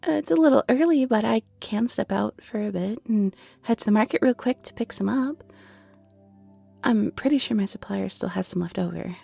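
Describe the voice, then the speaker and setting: Actress as bashful young fairy tea seller, giggly and flustered. Soft, gently creaky voice, close and dry, faint music behind. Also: female voice, mid-range voice, lively intonation, normal speed, dry recording, exaggerated voice. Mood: hesitant, hopeful. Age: 20s. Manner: nervous stammering, giggly, apologetic. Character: shy, flustered young half-fairy woman running a tea shop. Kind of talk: scripted audio roleplay, intimate and conversational.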